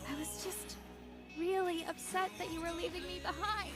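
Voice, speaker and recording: A woman voicing a reserved young woman in a video game, guarded but quietly fond. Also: very high voice, level tone, moderate pace. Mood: hurt. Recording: music behind the voice. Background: music.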